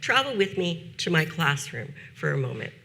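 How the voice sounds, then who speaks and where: A woman in her fifties speaks at a talk with a bright, forward tone and a gravelly edge. Her delivery is deliberate, slowing down to weigh key phrases. She sounds like a practiced teacher addressing an audience. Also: low-pitched, very animated. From United States. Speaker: teacher giving a keynote talk. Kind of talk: prepared conference talk.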